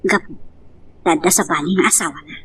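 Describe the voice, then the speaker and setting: A woman's voice: fairly low pitch, brisk, a bit bright, husky. A woman playing a middle-aged, hurt but stubborn abandoned wife and devoted mother in an Ilocano love drama, in an emotional, pleading manner. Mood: hurt, bitter. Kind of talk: Ilocano radio-style love drama acting.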